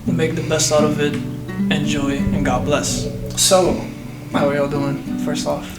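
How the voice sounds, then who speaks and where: A nineteen-year-old man hosting a casual group podcast, relaxed and laughing easily, with many fillers. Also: on the high side, measured pace. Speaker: podcast host. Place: room shared with three guests. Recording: echoey room, mic at a distance, guitar music.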